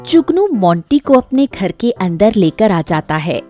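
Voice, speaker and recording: A woman's voice: medium pitch, big pitch swings, a little slow, warm tone. A woman narrating a scripted moral story for children as the storyteller. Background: faint music.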